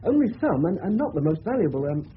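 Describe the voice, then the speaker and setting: An actor playing a respectful, plain-spoken police subordinate in a 1970s radio drama, with an English accent and a slightly hesitant manner. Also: male voice, sits high, very animated, fast talker. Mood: dutiful. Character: deferential, matter-of-fact English police subordinate reporting to superior. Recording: thin narrow-band old radio recording, hiss.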